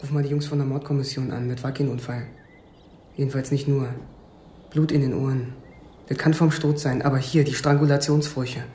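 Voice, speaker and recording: A man's voice: mid-range voice, flat delivery, a little fast, dark tone, roomy sound, a little hiss or hum, natural voice. An actor playing a brusque, accusing small-town policeman in a radio crime drama, terse, commanding and sardonic. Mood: grim, matter-of-fact. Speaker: police officer.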